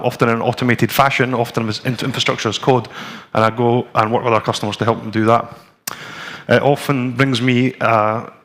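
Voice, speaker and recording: A Scottish man in his forties presents at a tech conference, talking the room through slides and a live demo. His delivery is relaxed and conversational, with plenty of ums and restarts. He speaks at a middle pitch in a plain, easygoing way. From Scotland. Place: conference room with a screen and audience.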